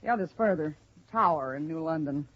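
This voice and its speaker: An American actress playing an offhand, evasive hotel keeper in a 1950s radio drama. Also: female voice, low-pitched, lively intonation, a little fast. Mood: uneasy, relenting. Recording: dull vintage 1950s radio recording.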